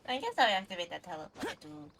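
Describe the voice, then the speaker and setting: A woman's voice: on the low side, huge pitch swings, quick pace, a bit bright, a little hiss or hum. A woman livestreamer giving casual commentary as she plays a game, with playful murmured asides. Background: game music.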